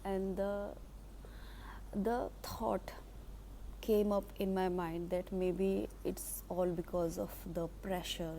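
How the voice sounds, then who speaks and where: A slow-spoken woman in her thirties with an Indian accent gives an off-the-cuff English lesson, pausing often with fillers and restarting her sentences. Her voice is mellow and relaxed. A faint hiss of background noise sits under the recording. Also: lowish voice, vocal fry, poor audio. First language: Hindi. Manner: halting, many fillers and restarts. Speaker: English teacher.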